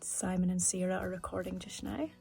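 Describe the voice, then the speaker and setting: A woman giving quiet behind-the-scenes commentary on a recording session. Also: fairly low pitch, level tone, brisk, creaky, faint music behind.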